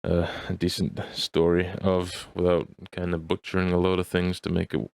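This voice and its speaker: A man with a low, deep voice chats casually as a podcast co-host. He qualifies his opinions as he goes, in a hesitant way. The sound is dry, with very little room. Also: monotone, normal speed, creaky, darkish tone, no echo.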